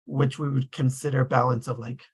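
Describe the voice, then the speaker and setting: A man's voice: medium pitch, steady tone, brisk, husky. A man hosting a casual group discussion in a tentative, rambling way. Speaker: discussion host.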